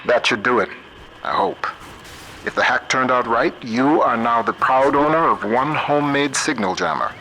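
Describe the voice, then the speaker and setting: A determined male scientist in a game, practical and focused. His voice is bright and forceful at a middling pitch, with faint noise behind it. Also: normal speed, low-quality recording. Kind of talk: naturalistic video game acting.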